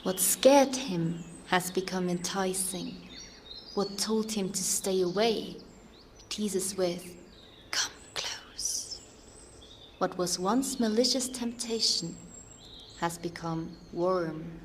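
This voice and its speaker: An actress playing a solemn, mysterious fairy-tale narrator with poetic, suspenseful and deliberate delivery. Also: female voice, fairly low pitch, a little hiss or hum. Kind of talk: scripted dramatic narration.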